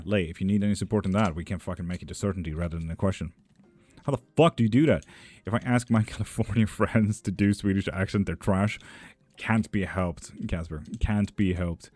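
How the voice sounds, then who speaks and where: A man's voice: low voice, darkish tone. A young man streaming tarot readings and answering his chat in a loose, joking way, with plenty of swearing. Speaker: tarot reader and witchcraft streamer. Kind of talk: casual livestream chat, reading out viewer messages.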